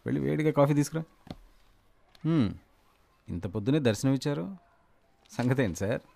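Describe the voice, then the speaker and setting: A man's voice: mid-range voice, expressive, moderate pace, gravelly, dark tone. An actor playing a middle-aged father who talks to his grown son with dry, mock-deferential sarcasm in a film. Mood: sarcastic. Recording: film soundtrack with faint noise.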